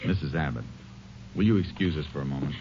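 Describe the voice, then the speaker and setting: A muffled vintage radio recording carries a no-nonsense Texas Ranger lawman. His voice is low and his delivery clipped and matter-of-fact, in 1950s radio drama style. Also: fast, dark tone, a little hiss or hum. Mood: measured.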